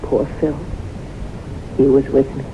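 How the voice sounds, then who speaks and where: An actress playing a haunted woman who recounts disasters in dramatic, deliberate radio drama style. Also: rumbling low, little pitch movement. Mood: haunted, sorrowful. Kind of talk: 1970s radio drama acting. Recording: muffled noisy old radio recording.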